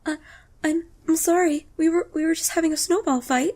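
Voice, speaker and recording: Woman as a squeaky, stammering guilty child. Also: fairly even intonation, brisk, darkish tone. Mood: flustered, apologetic. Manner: stammering, hesitant. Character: nervous, apologetic child caught in mischief.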